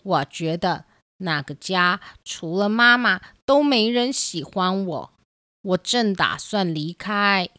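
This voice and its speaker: A woman's voice: mid-range voice, lively intonation, slow pace, bright, forceful tone, no room sound, clean studio sound. A woman voicing a sad, self-doubting young duckling in a children's storybook radio play, speaking earnestly. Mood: dejected. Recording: music behind the voice.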